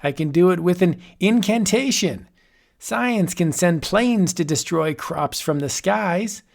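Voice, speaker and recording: A man's voice: high voice, animated, slow, creaky, pitched above the performer's own voice, full character voice. A man voicing a grandiose, unhinged old male warlock who rants theatrically and rises to shrieks. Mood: gloating. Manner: ranting, theatrical, rising to shrieks.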